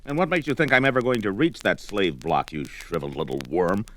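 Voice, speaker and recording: An actor playing a brawny, defiant barbarian warrior in a 1970s audio drama, taunting in grandiose archaic speech. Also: male voice, fairly low pitch, lively intonation, a little fast, close to an everyday voice. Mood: defiant, mocking.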